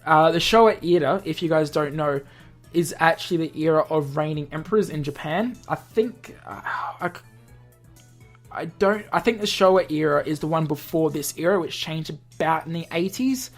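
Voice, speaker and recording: A man's voice: fairly high pitch, moderate pace. A man hosting a gaming video, reading a visual novel aloud with casual asides and correcting himself as he goes. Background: music.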